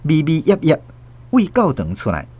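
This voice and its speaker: A man's voice: fairly high pitch, wildly swooping pitch, moderate pace, a little mellow, husky. A man with a Taiwanese accent narrating a radio drama as a scripted, dramatic storyteller.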